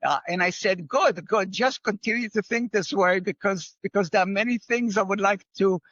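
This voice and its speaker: An older man with an Israeli accent speaks as an interview guest, a finance professor. He tells his stories in a measured, wry way, with a slightly higher voice. The recording sounds dull and very dry. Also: fairly bright tone, no room sound. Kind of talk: conversational interview.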